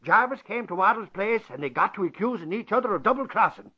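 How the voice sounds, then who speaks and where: Excitable Irish amateur detective, high, swooping delivery full of exclamations, vintage radio mystery. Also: male voice, quick pace, breathy edge. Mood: excited, proud. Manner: folksy, exclamatory. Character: excitable, boastful Irish bodyguard turned amateur sleuth. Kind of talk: 1940s radio acting. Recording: dull lo-fi vintage radio broadcast.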